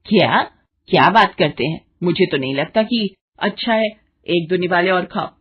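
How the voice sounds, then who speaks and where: A spiteful middle-aged mother-in-law from a cartoon family drama, acted by a woman. She is scornful and biting, with a husky edge to her voice and a dull, muffled recording. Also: on the low side, lively intonation, normal speed. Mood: baffled, disgusted. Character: scheming spiteful mother-in-law, sharp-tongued older woman.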